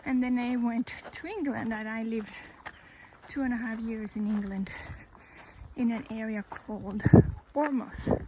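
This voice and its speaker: Woman, Colombian Spanish accent, 50s, unhurried, thoughtful pauses, outdoor recording with background noise. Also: a little high, fairly even intonation. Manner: slow, thoughtful, with pauses and self-corrections. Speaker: hiker and vlogger. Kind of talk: personal life story, casual. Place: outdoors on a trail.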